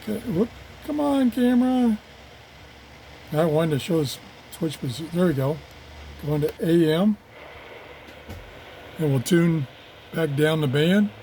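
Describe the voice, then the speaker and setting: Guy doing a casual gadget demo, slightly high voice, mutters as he goes, bit of static noise in the room. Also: animated, unhurried, pauses often, mellow, low-quality recording. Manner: unscripted, muttering to himself while working. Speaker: radio reviewer. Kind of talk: casual hands-on product demonstration. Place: home office. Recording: clear background noise of radio static. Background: radio static and tuning noise.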